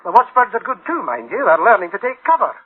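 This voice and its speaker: An actor playing an eager, chatty male engineer proud of his machines in a radio drama, animated and informal. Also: high-pitched, quick pace. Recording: tinny, band-limited radio drama recording.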